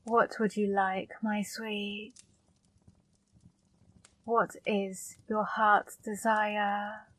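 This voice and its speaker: Slow, flat-voiced flirty demon woman a woman playing. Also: medium pitch, no echo. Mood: seductive. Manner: slow, soft, commanding. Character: flirty seductive female demon, controlling. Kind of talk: ASMR roleplay.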